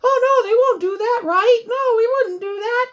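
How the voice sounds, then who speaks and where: A woman voicing a friendly, innocent puppet giraffe in a children's puppet show, with drawn-out, reassuring delivery. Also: extremely high pitch, dull audio, voice pushed up.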